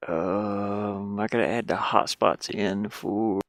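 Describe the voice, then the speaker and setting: A man with a fairly low, gravelly voice talks himself through a game-building task. He goes very slowly, muttering in short, casual fragments. The sound is dry, with little room around it. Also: steady tone, fairly bright tone, no room sound. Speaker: hobby game developer sharing a work session. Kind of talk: casual think-aloud screen commentary while working.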